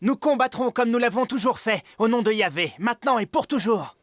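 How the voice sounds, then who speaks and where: A man's voice: very high-pitched, animated, fairly quick. A man voicing a zealous holy warrior in a French biblical cartoon, proclaiming fervently. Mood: resolute. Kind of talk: French animated cartoon dubbing.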